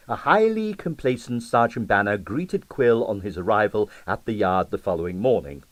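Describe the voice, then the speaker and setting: A man's voice: medium pitch, no echo. A man reading a detective novel aloud as scripted, dramatised narration. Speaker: audiobook narrator.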